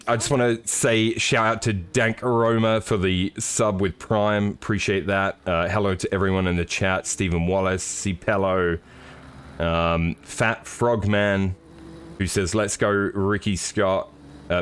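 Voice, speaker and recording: Hoarse, joking male race commentator. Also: sits low, measured pace, slight background noise. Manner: relaxed, joking, with many fillers. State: slightly hoarse. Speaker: sim racing commentator. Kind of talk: live stream race commentary.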